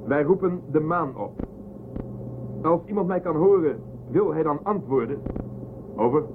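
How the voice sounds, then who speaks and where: A man's voice: fairly high pitch, animated, measured pace. A man playing an authoritative but courteous starship commander in a science fiction radio drama, giving clipped orders. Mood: commanding. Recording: thin phone-like, noisy sound.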